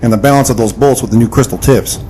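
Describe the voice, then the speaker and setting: Man playing quick-talking wry marksman. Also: mid-range voice, noisy background, close to an everyday voice. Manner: casual, wry. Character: wry, cocky male marksman and narrator. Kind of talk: audio adventure drama acting.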